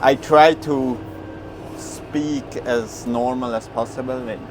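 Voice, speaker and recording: A man with a Swiss German native tongue talks slowly in a loose, rambling way, stopping and restarting as he mows. His voice sits at an ordinary middle pitch for a man. A clip-on mic picks him up in the tractor cab, with the engine's clear drone running behind him. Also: fairly even intonation. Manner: rambling, halting, many fillers and restarts. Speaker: farmer vlogger. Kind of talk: casual talk while mowing in a tractor. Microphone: wireless clip-on mic. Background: tractor engine noise.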